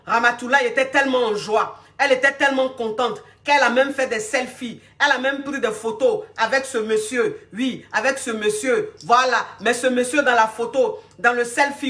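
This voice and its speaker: A woman's voice: fairly high pitch, fairly bright tone. A woman with a cold telling a story to her viewers in casual, repetitive style, often asking if they follow. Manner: repetitive, emphatic, addresses viewers directly. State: has a cold. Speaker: video host telling a story.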